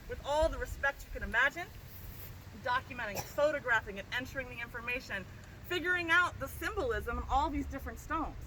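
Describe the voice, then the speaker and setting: A high, bright-voiced woman professor addresses a gathered crowd outdoors with eager, talkative energy, often asking "right?". Steady noise and passing traffic sit under her voice in this open-air recording. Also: low-quality recording. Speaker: professor and nonprofit founder. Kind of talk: outdoor public speech. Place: outdoors at a historic site.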